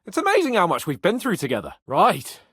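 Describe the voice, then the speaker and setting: A brash young nobleman with a British accent. His delivery is haughty yet comradely, swinging widely in pitch with theatrical, teasing flair. He sits fairly high for a man, in a dry recording with no room sound. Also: huge pitch swings, a little fast, fairly bright tone, husky, no room sound, full character voice. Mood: confident, eager. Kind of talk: medieval video game voice acting.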